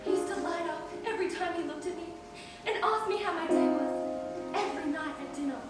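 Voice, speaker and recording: A woman's voice: very high voice, quick pace, over loud music. A woman playing an emotional, torn wife in a melodramatic amateur stage play. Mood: wistful, anguished. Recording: very echoey stage, distant mic.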